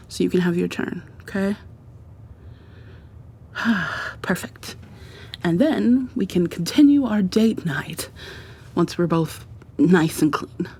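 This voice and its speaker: A woman playing an affectionate, playfully dominant girlfriend in an intimate ASMR roleplay, murmuring softly and breathily to the listener, often sliding into whispers. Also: husky. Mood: teasing, bossy. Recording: audible background hiss with low rumble.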